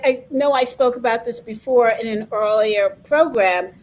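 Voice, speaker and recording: A woman's voice: a little high, rough recording. A middle-aged woman hosting an interview, talking emphatically and sharing personal anecdotes, often repeating words as she starts. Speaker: interviewer, coaching association host. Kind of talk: conversational interview.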